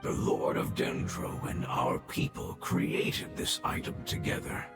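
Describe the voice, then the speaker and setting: An actor playing an ancient, dying guardian creature in a video game, weary and reverent, speaking haltingly in a breathy rasp. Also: male voice, close to an everyday voice. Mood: grave, hopeful. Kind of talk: scripted video game voice acting. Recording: reverberant voice under loud music.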